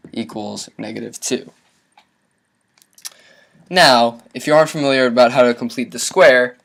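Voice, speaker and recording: A man's voice: medium pitch, a bit bright. A young man presenting a math tutorial, explaining each step in an instructional way.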